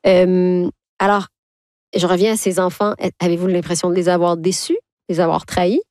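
A woman's voice: fairly low pitch, normal speed, darkish tone, dry recording, studio quality. A woman interviewer putting prepared questions in an articulate, probing way.

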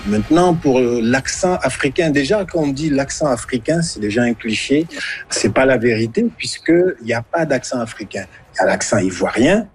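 A husky-voiced man explaining in a spontaneous interview, with emphatic repetition. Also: medium pitch, animated, normal speed. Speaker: interviewed guest.

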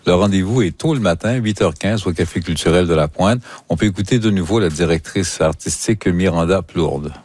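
A man's voice: sits low, brisk, hardly any pauses, darkish tone, husky. A man with a Quebec French accent presenting arts news as a radio host.

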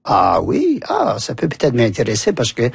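Husky Canadian French man in his sixties. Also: mid-range voice, huge pitch swings, moderate pace, no room sound. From New Brunswick, Canada. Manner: hesitant, many fillers and restarts. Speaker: interview guest. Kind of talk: relaxed storytelling in conversation.

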